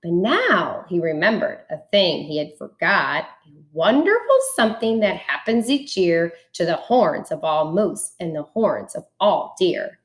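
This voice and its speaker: Slow, expressive woman reading a storybook. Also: fairly low pitch, reverberant. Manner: dramatic, deliberate rhyming delivery. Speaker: teacher reading a picture book aloud. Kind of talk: expressive children's storybook read-aloud.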